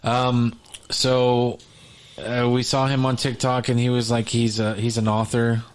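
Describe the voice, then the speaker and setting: A man co-hosting a podcast in relaxed chat, rambling with frequent restarts and fillers. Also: mid-range voice, measured pace. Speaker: podcast co-host. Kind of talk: casual chat.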